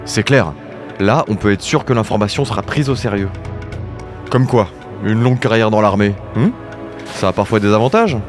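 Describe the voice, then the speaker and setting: A man's voice: fairly low pitch, moderate pace, in a large hall, faint music behind, lightly acted. A man playing a determined, earnest insect war veteran in an audio drama. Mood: confident.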